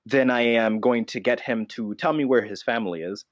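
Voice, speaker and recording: A man playing a vengeful, self-serious vigilante notebook disguised as a bat, deadpan in an improvised comedy interview. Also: medium pitch, a little fast, dry recording, highs cut off, lightly acted. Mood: grimly menacing.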